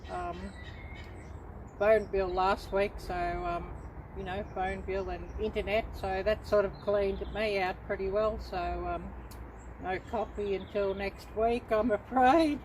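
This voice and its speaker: A middle-aged woman from Australia hosting a casual livestream, chatting unhurriedly to viewers with many pauses and ums. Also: mid-range voice, fairly even intonation, a little mellow, a little hiss or hum, low-quality recording. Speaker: livestream host.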